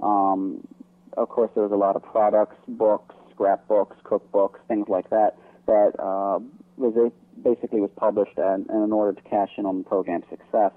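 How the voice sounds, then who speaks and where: A man's voice: fairly low pitch, flat delivery, moderate pace. A man speaking as an interview guest over the telephone, explaining things informally. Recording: narrow, tinny phone line.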